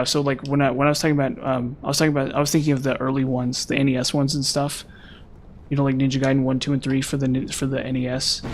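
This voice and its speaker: A young man streaming a game, chatting casually with viewers in a rambling way, his voice slightly hoarse from long talking. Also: mid-range voice, slight background noise. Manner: rambling, lots of "like" and "um". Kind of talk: casual live-stream chat while gaming.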